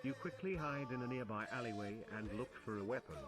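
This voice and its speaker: Animated man narrating a text adventure. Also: medium pitch, fast talker, music in the background. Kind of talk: second-person story narration read aloud.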